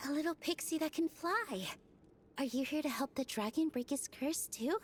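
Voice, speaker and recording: High, lively flying squirrel fairy. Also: female voice, measured pace, background noise. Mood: friendly, playful. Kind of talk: video game voice acting.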